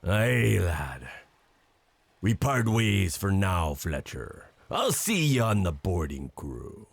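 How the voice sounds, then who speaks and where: An actor playing a resolute, commanding sea captain in a nautical audio drama, with a gravelly, raspy voice and solemn, oratorical delivery. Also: male voice, sits low, sing-song, takes it slowly, full character voice. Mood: stern, measured.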